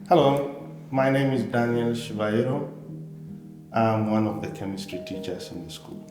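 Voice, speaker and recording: A man, a teacher, giving a brief, measured introduction on camera. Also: mid-range voice. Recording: roomy, hall-like reverb. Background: guitar music.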